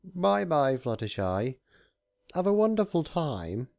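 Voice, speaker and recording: A man's voice: on the high side, big pitch swings, takes it slowly, creaky, darkish tone, no room sound, clean studio sound, pitched above the performer's own voice, exaggerated voice. A man voicing a gleeful, scheming male chaos spirit in a storybook reading, grandiose, theatrical and mock-sweet. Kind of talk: storybook character voice.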